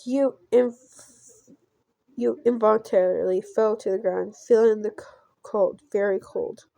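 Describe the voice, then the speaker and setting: A woman reading a visual novel aloud as she plays, with playful, halting delivery and casual asides. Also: mid-range voice, fairly even intonation, creaky, mellow, no room sound, rough recording. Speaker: gameplay streamer.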